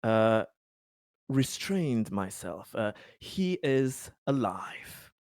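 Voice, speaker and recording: A man playing a flustered middle manager in a tabletop game, reassuring in a halting, repetitive, evasive way. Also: lowish voice, very animated, no room sound, studio quality, exaggerated voice. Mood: nervous placating. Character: flustered, evasive male colony middle manager placating a colleague. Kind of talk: improvised tabletop roleplay.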